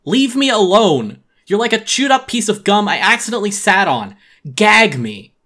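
Casting: high-voiced, chirpy duck from a game story, flippant, slangy, loud and exclamatory. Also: male voice, sing-song, moderate pace, full character voice. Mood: snotty, disgusted. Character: chirpy toupee-wearing male duck neighbor, flippant and excitable.

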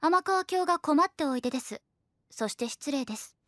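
An actress playing a composed, frank young princess in an anime audio drama, formal and primly polite in speech. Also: female voice, high voice, animated, a little fast, a bit bright, no echo, studio quality. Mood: stern, reproving.

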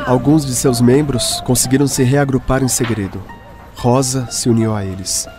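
A Brazilian-accented male narrator tells a radio drama story in a measured way. His mid-pitched voice sits over clearly audible music. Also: fairly even intonation.

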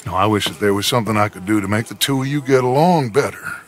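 An actor playing a scheming, grandiose middle-aged American outlaw gang leader in a cinematic video game, speaking with theatrical persuasion. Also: male voice, fairly low pitch, quick pace, close to an everyday voice.

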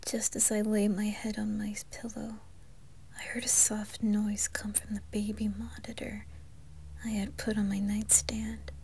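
A woman narrating a scripted horror story in the first person, theatrical and deliberate, turning breathy when hushed. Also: medium pitch, completely flat delivery, a little hiss or hum. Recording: music behind the voice. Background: music.

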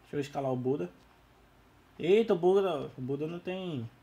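A Brazilian man who is losing his voice, giving casual, slangy, excitable gameplay commentary. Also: fairly high pitch, fairly quick, husky, dull audio. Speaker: gaming YouTuber.

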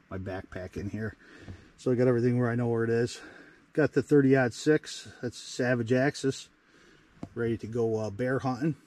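A man's voice: mid-range voice, darkish tone. A man in his thirties vlogging casually from inside a tent in the woods, rambling through his plans with fillers and restarts. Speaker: outdoor vlogger. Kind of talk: casual camp vlog. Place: inside a canvas hot tent in autumn woods.

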